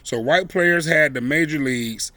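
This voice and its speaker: A man reading a children's story aloud expressively, with deliberate, emphatic phrasing and dramatic pauses. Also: fairly high pitch, bright, forceful tone, no room sound. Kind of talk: expressive read-aloud storytelling with brief asides.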